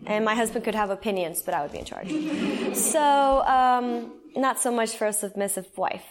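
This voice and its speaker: A woman's voice: fairly high pitch, animated, normal speed, background noise. A woman from El Salvador in her thirties, telling her personal faith testimony in an earnest, conversational way with frequent fillers. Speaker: guest sharing her testimony.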